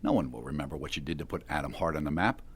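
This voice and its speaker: An actor playing a cool, hard-nosed younger tech dealmaker in an audio drama, blunt, controlled and condescending. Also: male voice, a bass voice, racing, breathy edge, exaggerated voice. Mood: scornful.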